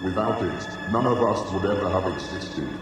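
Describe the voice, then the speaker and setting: A man's voice: on the low side, normal speed, lightly acted. An actor playing a grave, stern and lordly alien elder statesman who makes solemn pronouncements in a radio science fiction drama. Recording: cavernous reverb with music behind.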